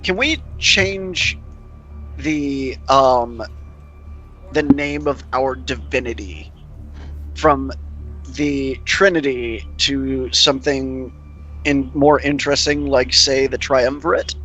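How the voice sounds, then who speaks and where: A man playing a tabletop role-playing game, chatting casually in a deliberate, halting way. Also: mid-range voice, fairly bright tone, muffled recording, soft background music. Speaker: tabletop role-play player.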